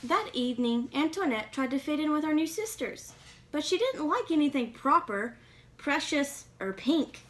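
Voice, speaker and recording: A woman's voice: sits high, big pitch swings, moderate pace. A woman reading a children's picture book aloud expressively, with questioning asides to young listeners. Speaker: read-aloud reader.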